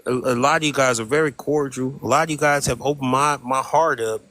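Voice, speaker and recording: A man's voice: mid-range voice, steady tone, fairly quick, a bit bright. A man moderating a live-stream discussion, talking hesitantly with many restarts and a deferential tone. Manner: hesitant, repeats words, deferential.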